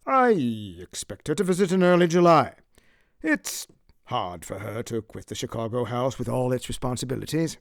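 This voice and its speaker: A self-assured, smooth-talking gentleman, acted by a male narrator, speaks formally and placatingly with a very animated melody. There is creak in the voice, and the audiobook recording is dry and close. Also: medium pitch, normal speed, no echo. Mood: evasive. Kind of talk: audiobook character acting.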